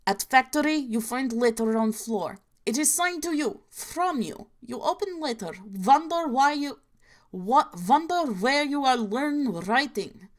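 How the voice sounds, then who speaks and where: A woman reading parody horror stories in an inaccurate mock Russian accent, dropping articles in clipped broken grammar as a deadpan narrator. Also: high-pitched, a little slow, pitched above the performer's own voice.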